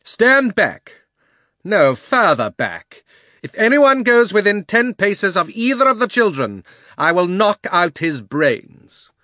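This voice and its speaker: A man's voice: medium pitch, very animated, takes it slowly, hardly any pauses, fairly bright tone, dry recording, clean studio sound, pitched above the performer's own voice. A man voicing a fierce, imperious witch in an audiobook, commanding and threatening in manner. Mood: commanding fury.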